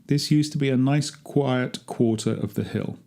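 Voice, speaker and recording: A man's voice: medium pitch, measured pace, creaky, mellow. A man reading a dramatic monologue as a wry, grumbling gardener among ancient tombs, chatty and darkly humorous. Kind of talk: first-person dramatic monologue, read aloud.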